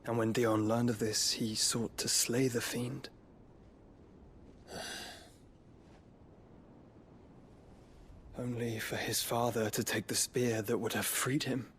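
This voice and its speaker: A man's voice: mid-range voice, level tone, a little slow, fairly bright tone, a little hiss or hum, natural voice. An actor playing an earnest, learned young nobleman in a fantasy game, speaking solemnly in formal, archaic phrasing. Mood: sorrowful, regretful. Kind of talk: dramatic fantasy game cutscene acting. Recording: music behind the voice. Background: music.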